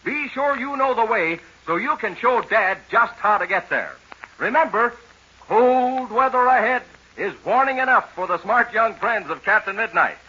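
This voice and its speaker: An American man works as announcer in 1940s radio style. His high, very bright voice swings animatedly, selling and narrating with dramatic emphasis for young listeners. The sound is that of a dull, slightly noisy old broadcast. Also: animated, moderate pace, slightly rough. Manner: emphatic, dramatic salesmanship addressed to children. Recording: dull old radio recording with faint noise.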